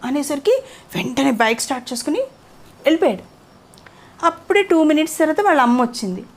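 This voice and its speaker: A woman's voice: sits high, big pitch swings, fast talker. A woman telling a moral story conversationally to a child, often stopping to ask questions. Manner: interactive, asks the child questions.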